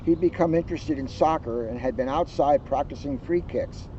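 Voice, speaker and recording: A man reading a children's book aloud in an animated, emphatic way, adding joking asides. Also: a little high, moderate pace. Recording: muffled, outdoors with vehicle noise. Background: outdoor ambience, passing cars.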